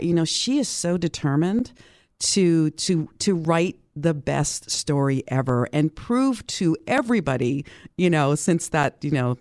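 A woman's voice: low-pitched, darkish tone, dry recording, clean studio sound. A woman in a casual book discussion, talking as a club participant and acting out reactions as she retells things.

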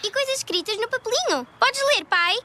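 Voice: very high-pitched, lively intonation, brisk, bright, forceful tone, full character voice. A performer voicing a small, playful and curious puppy child in a dubbed children's cartoon. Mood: curious, excited.

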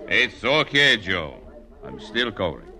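An effusive, oily gambling boss with a foreign accent. His lowish voice swings widely as he glad-hands in broken English. It is vintage radio drama, with a busy crowd behind him. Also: sing-song, unhurried. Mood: uneasy, placating. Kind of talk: 1940s radio character acting.

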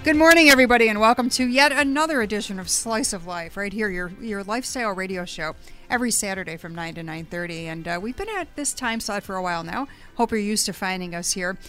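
A woman's voice: medium pitch, expressive, fast, pushed and bright, faint music behind. A woman hosting a casual radio interview in a studio, chatty and fond of puns, laughing often. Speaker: radio host. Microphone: studio microphone.

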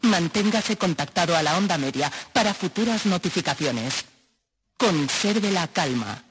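An actor playing an official government announcer, giving measured emergency instructions through an old radio filter in an audio drama. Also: male voice, high voice, animated, music in the background. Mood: official, calm. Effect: old radio broadcast filter. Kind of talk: formal scripted emergency radio broadcast. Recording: noisy, crackling medium-wave radio broadcast.

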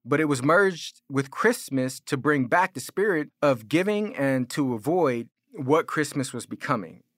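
A man's voice: medium pitch, a bit bright, no echo, studio quality. An American man preaching as a pastor, explaining and arguing his points in an informal, conversational way. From California. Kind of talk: sermon, explanatory apologetics teaching.

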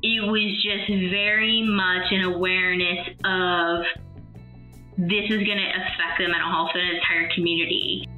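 A woman's voice: lowish voice, fairly even intonation, normal speed, soft background music. A woman from Ohio, a trauma counselor and practice founder, speaking candidly in an interview and often repeating words as she thinks aloud. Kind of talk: interview clip. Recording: echoey, phone-quality interview audio.

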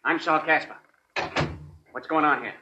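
An actor playing a brusque, impatient crime boss in a 1940s radio drama, sharp-voiced. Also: male voice, on the high side, fairly even intonation, moderate pace, close to an everyday voice. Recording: muffled, noisy vintage radio recording.

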